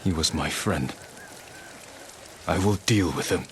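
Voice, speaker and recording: Deep-voiced, grave samurai lord. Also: male voice, fairly bright tone, slight background noise, exaggerated voice. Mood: solemn. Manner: terse, measured, solemn. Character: stoic samurai lord, grave, determined, restrained. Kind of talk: dramatic video game acting.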